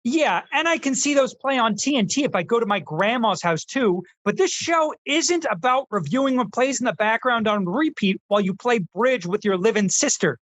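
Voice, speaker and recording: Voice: medium pitch, animated, moderate pace, few pauses, fairly bright tone, no room sound, lightly acted. An actor playing a sarcastic, know-it-all film critic in their twenties in a scripted comedy radio skit. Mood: exasperated. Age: 20s. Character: snarky, earnest twenty-year-old Zoomer film critic.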